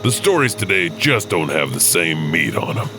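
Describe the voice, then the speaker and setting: A man's voice: low-pitched, very animated, moderate pace, creaky, music in the background, full character voice. A man playing a folksy, wry, teasing narrator of ghost stories. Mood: wry, ominous. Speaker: narrator and storyteller. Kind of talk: spooky anthology narration.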